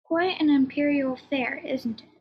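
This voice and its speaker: Radio mystery: actress as high-voiced older noblewoman, haughty and blunt, on a slightly dull lo-fi recording. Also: normal speed. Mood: dry, skeptical. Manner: haughty, dry, brusque. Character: haughty, impatient older aristocratic lady, drily blunt. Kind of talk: radio play mystery acting.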